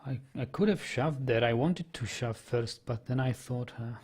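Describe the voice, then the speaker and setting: A man's voice: medium pitch, normal speed, a little mellow, breathy edge. A young man with a Czech accent, a native Czech speaker, thinking aloud as he commentates his online poker play and reads chat, hesitant and full of fillers. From Czech Republic. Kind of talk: casual commentary while playing and reading chat.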